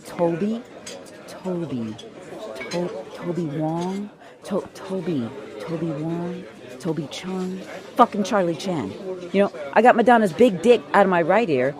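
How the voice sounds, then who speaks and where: A woman's voice: on the low side, a little slow, lightly acted. A woman playing an irritated, sardonic, foul-mouthed gangster in an audio drama. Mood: fed up. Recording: busy crowd chatter behind.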